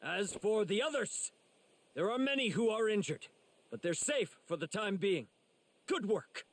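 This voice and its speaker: A confident young warrior mentor delivers commanding encouragement in anime game style. His slightly high, husky voice moves boldly up and down. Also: lively intonation, a little slow, exaggerated voice. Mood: encouraging.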